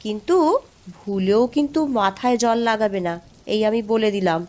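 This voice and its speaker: A woman's voice: medium pitch, normal speed, darkish tone, natural voice. A woman playing a bossy but caring village housewife in a cartoon, scolding and exclaiming as she talks. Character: bossy, fussing village housewife who cares. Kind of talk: cartoon dialogue.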